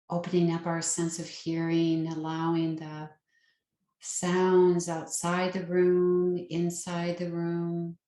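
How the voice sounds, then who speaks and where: A woman leading a guided meditation as a yoga nidra teacher, with a soothing, gentle and unhurried manner. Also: lowish voice. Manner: soothing, unhurried, gentle instruction with long pauses. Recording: roomy, echoing sound.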